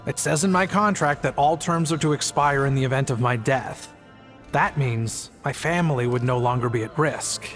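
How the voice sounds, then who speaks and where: A man's voice: on the high side, close to an everyday voice. A man as a weary, apologetic family man. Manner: hesitant, sighing, confessional. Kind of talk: video game voice acting.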